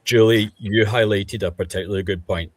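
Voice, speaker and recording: A man with a Scottish accent, speaking as a guest on an online panel discussion in a measured, thoughtful way with frequent pauses. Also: lowish voice, steady tone, a little hiss or hum. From Scotland.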